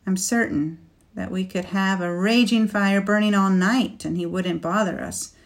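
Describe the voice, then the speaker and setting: A woman voicing a bitter, fierce young warrior maiden in an audiobook, reading her lines with passion that climbs toward shouting. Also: medium pitch, measured pace, natural voice. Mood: lecturing. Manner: passionate, rising to near shouting.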